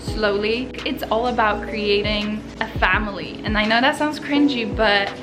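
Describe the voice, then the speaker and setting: A woman's voice: mid-range voice, unhurried. A Polish-born woman whose first language is Polish, a vlogger and clothing brand founder answering viewer questions in an earnest, upbeat way. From Poland. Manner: earnest, upbeat advice-giving. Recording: voice over background music.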